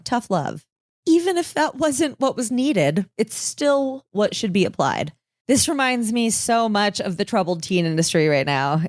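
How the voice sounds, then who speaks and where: A woman's voice: medium pitch, dry recording, clean studio sound. A woman co-hosting a true-crime podcast, telling researched stories in an informal, wry way with casual swearing. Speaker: podcast co-host.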